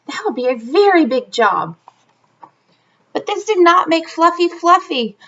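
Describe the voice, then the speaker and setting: High-pitched, very animated woman reading to children. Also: measured pace, lo-fi sound, slight background noise. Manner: expressive, talks directly to young listeners. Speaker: teacher reading a picture book aloud. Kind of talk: animated children's story read-aloud with chatty asides.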